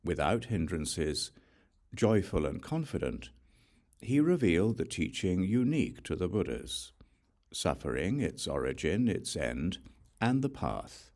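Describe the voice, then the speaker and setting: A man reading scripture as a scripted audiobook narration, with measured, deliberate storytelling delivery. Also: low-pitched, sing-song, a little mellow.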